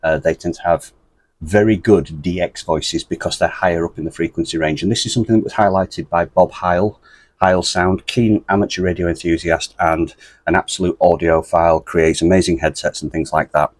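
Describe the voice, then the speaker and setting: A British man presenting a step-by-step ham radio tutorial in a deep, bassy voice, steady and methodical with a few ums. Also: moderate pace, no echo. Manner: steady, methodical, explains with fillers.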